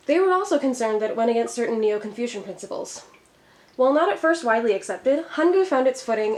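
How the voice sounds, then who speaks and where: A woman's voice: fairly high pitch, a little mellow. A woman from Minnesota teaching a Korean lesson in an upbeat, friendly tone. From Minnesota, USA. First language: English. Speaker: Korean tutor. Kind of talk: scripted educational narration.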